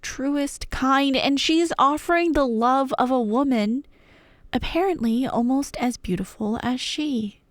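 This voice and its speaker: A woman telling a scripted myth as ASMR roleplay, conversational and wry, with dramatic pauses and emphasis. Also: on the high side, dry recording, clean studio sound.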